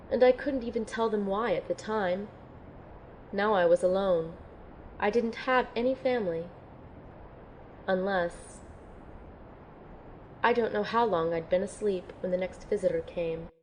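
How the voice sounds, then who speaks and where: A woman playing an earnest, unsure young female recruit in an audio drama, sounding sincere, gentle and tentative. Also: medium pitch, a little hiss or hum, lightly acted, poor audio. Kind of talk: audio drama acting and first-person narration.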